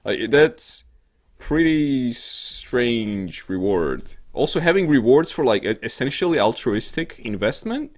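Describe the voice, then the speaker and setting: A man co-hosting a casual internet talk show, commenting in a halting way as he searches for his words. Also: medium pitch, big pitch swings.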